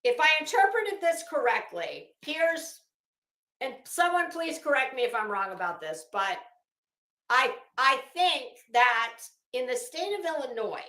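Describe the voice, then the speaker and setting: A woman's voice: sits high, big pitch swings, a little slow, sharp tone, roomy sound. A woman hosting a casual livestream, thinking aloud in a rambling, hesitant, informal way and reading documents out. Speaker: livestream host. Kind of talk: casual live commentary mixed with reading legal documents aloud.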